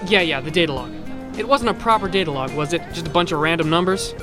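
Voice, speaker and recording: A man's voice: a little high, quick pace, music in the background, lightly acted. A man playing a chatty, mischievous robot bartender and prankster in an audio drama, flippant and rambling. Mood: gleeful.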